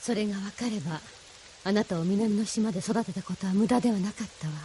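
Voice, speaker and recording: A woman's voice: medium pitch, brisk, mellow, slight background noise, natural voice. A woman playing an idealistic, solemnly persuasive mentor in a Japanese audio drama, with a didactic, feminine way of speaking.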